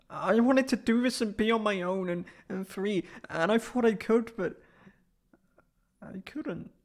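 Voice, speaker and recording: A man voicing a proud but insecure, emotional tsundere catboy in an ASMR roleplay, with hesitant, stammering speech. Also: sits high. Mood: distraught, tearful.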